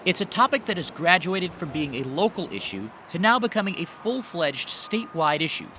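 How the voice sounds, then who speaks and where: A man's voice: high-pitched, very animated, moderate pace, pushed and bright, slight background noise. An American man delivering scripted narration as a television news reporter.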